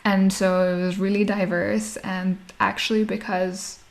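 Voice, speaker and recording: Chatty woman in her thirties, gravelly edge. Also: mid-range voice, little pitch movement, a little slow. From Nigeria. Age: 30s. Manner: chatty, laughs often while talking. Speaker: guest. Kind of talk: casual interview conversation.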